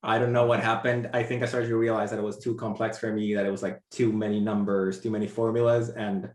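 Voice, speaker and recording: A young man from Argentina, speaking as an interview guest in a relaxed, rambling way with many "like" fillers. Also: lowish voice, fast talker, roomy sound. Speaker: interview guest, brand designer. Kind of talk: casual interview conversation.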